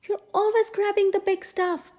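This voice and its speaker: A high-voiced storybook burglar, put on by a woman, grumbling and hissing at a partner in crime. The crook is irritable and bickering. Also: level tone, moderate pace, a little mellow. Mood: irritated. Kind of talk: children's storybook read-aloud.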